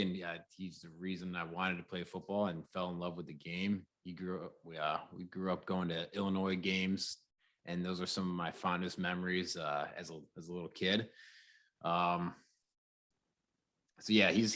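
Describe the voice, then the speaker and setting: A man with a very deep voice on a casual podcast. He talks earnestly, pausing and restarting with fillers as he thinks. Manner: earnest, halting, with many fillers. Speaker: podcast co-host. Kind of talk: casual chat.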